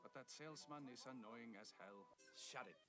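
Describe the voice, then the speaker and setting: A man's voice: mid-range voice, normal speed, natural voice. A man voicing a stoic young man who personifies Norway in an anime dub, speaking English with a Norwegian-style accent, curt and dismissive. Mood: deadpan. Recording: anime clip with loud music and long reverb.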